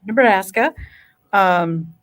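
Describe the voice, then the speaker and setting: A woman hosting a casual interview, speaking in an informal, encouraging and somewhat halting way. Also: medium pitch, fairly bright tone. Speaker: podcast host.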